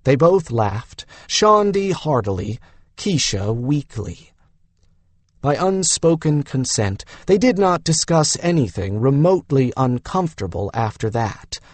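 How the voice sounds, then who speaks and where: A man's voice: mid-range voice, big pitch swings, unhurried, no room sound. A man reading a fantasy novel aloud as a scripted audiobook narration.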